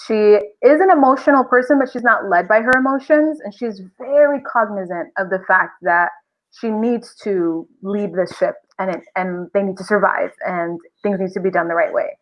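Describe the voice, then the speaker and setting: An expressive woman with a mid-range voice, speaking as a guest in a casual podcast interview. She sounds enthusiastic and conversational. The audio is dull and lo-fi, like an online call. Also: mid-range voice, normal speed.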